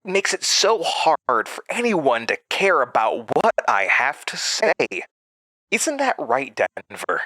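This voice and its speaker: A man's voice: a little high, huge pitch swings, slow, bright, forceful tone, no room sound, heavily acted. An actor playing a malevolent entity with a man's borrowed voice, mocking and theatrical in a horror audio drama. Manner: mocking, sardonic, theatrical, toying menace.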